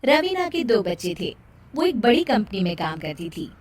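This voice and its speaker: A woman's voice: medium pitch, big pitch swings, normal speed. A woman narrator reading a scripted moral story for a children's cartoon.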